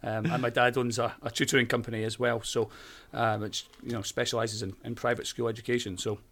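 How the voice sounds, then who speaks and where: A man's voice: medium pitch, level tone, fairly quick, a bit bright. A man in his thirties with a Scottish accent, a teacher being interviewed and chatting in a friendly, talkative way with plenty of fillers and restarts. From Scotland. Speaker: primary school teacher. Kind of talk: conversational interview.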